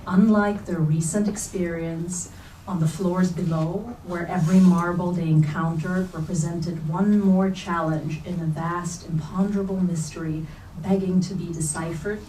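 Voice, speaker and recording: A woman's voice: fairly low pitch, dark tone. A woman reading literary prose aloud at a staged reading. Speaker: reader at a staged book reading. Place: bookstore event space, indoors. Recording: roomy, distant mic, faint noise.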